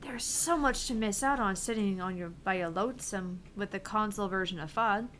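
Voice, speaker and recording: A woman's voice: mid-range voice, moderate pace, fairly bright tone, slight background noise, natural voice. A woman voicing a brash, cocky, foul-mouthed female game streamer in a visual novel, with taunting, theatrical delivery. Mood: boastful, eager. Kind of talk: visual novel read aloud in character.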